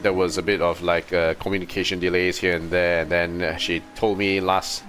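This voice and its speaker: A man chatting casually as a video game streamer, telling things in an animated, exasperated way. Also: low voice, moderate pace. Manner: animated storytelling with exasperated asides. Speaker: livestreamer playing a video game. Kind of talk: casual rambling livestream chat. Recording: music behind the voice. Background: game music.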